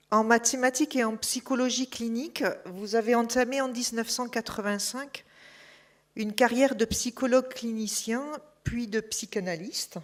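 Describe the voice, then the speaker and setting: A woman hosting an evening lecture, formally introducing the guest speaker and reading his career from notes. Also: on the high side. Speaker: event host introducing a speaker. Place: lecture hall.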